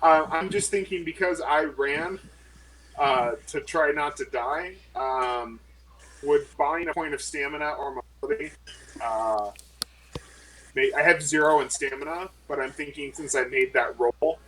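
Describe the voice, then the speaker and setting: A man's voice: a little high, unhurried, sharp tone, rough recording. A man playing in a tabletop role-playing game, thinking aloud hesitantly with many fillers. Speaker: player. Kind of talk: casual game chat.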